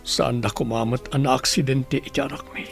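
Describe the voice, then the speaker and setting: A man's voice: medium pitch, steady tone, normal speed, gravelly, faint music behind, lightly acted. A man with an Ilocano accent acting an anguished, remorseful husband in a radio drama, in a pleading manner. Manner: pleading, prayerful. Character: anguished, remorseful husband praying for his sick wife.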